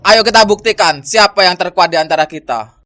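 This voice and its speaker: Haughty elder brother with cursing powers for folktale cartoon male actor; high, forceful, spoiling for a fight. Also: a little fast, slight background noise. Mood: taunting. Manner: haughty, challenging. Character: arrogant, vengeful older brother with magic cursing power. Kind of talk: cartoon folktale character acting.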